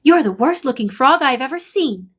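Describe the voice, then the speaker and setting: A woman voicing a blunt but obliging fairy godmother from a storybook, brisk and offhand. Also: high voice, lively intonation, a bit bright, breathy edge, exaggerated voice. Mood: blunt, breezy.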